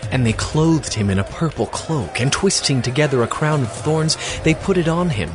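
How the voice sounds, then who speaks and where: A man's voice: fairly high pitch, lively intonation, normal speed, background noise. A man narrating scripture from a script in a dramatized audio production.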